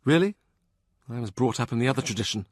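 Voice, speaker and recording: A man's voice: mid-range voice, lively intonation, fast, no echo, clean studio sound, natural voice. A man voicing a young widowed noblewoman in an audiobook, fretful, confiding and wistful.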